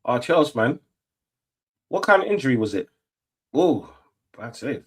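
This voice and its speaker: A man's voice: medium pitch, big pitch swings, a little slow, husky. A middle-aged man, chatting casually on a football watch-along stream, rambling with lots of fillers and restarts. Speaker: stream host.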